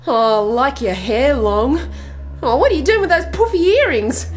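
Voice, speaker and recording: A woman's voice: high-pitched, expressive, normal speed, faint music behind. An actress with an Australian accent playing a brash, flirtatious woman in a radio play, teasing, earthy and bossy. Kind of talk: radio drama acting.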